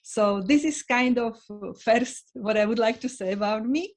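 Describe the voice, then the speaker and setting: Slovak-accented woman speaking earnestly. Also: a little high. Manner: earnest, halting, non-native phrasing. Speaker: guest. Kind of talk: online interview.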